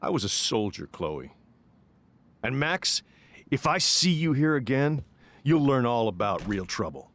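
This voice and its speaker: A man's voice: medium pitch, big pitch swings, a little slow, pauses often, fairly bright tone. An actor playing a stern, suspicious middle-aged ex-soldier stepfather in a video game, accusatory and authoritarian. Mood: threatening.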